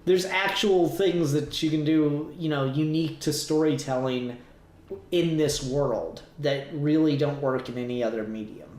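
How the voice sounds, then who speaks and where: A man's voice: a little high, fairly even intonation, a little slow, a little mellow. A man casually discussing a review as a podcast co-host, rambling and thinking aloud as he goes. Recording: roomy echo, clear background noise.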